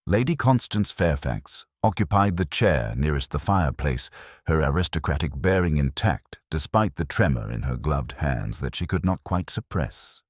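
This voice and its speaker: A man's voice: rumbling low, lively intonation, normal speed, no room sound, studio quality. A man reading a mystery story as a formal, descriptive audiobook narration.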